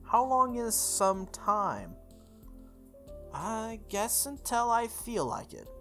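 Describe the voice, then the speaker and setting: A woman's voice: a little high, sing-song, slow pace, pushed and bright, soft background music, pitched above the performer's own voice, exaggerated voice. A woman voicing a blunt, casual, protective teenage boy from a visual novel. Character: stubborn, protective teenage boy, archer turned club quitter.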